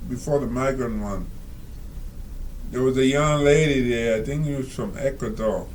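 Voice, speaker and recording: A man reading out and commenting on a reader's letter, talking slowly and rambling with many fillers and asides. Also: mid-range voice, a little hiss or hum, poor audio. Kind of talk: casual spoken commentary.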